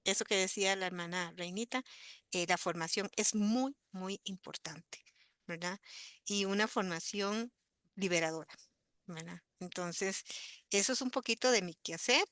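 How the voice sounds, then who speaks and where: Woman pastor, steady and encouraging, bright voice, dry sound. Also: medium pitch, normal speed, no echo. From Costa Rica. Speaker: pastor and theology student. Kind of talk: panel self-introduction.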